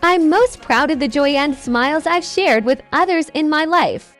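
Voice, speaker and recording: A woman's voice: sits high, expressive, moderate pace, a bit bright, soft background music. A woman answering pageant questions in a scripted, upbeat way. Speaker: pageant contestant.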